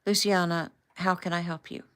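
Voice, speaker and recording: A woman doing a calm, firm man in charge. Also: fairly low pitch, normal speed, smooth, natural voice. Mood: calm reassuring. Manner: steady, reassuring, firm. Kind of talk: audiobook character voice.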